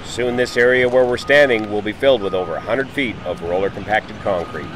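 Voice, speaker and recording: A man with a lowish voice reads a scripted voice-over, explaining each step in a measured, informative way. The read is clean and plain, setting the scene for a documentary-style tour. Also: background noise. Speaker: narrator.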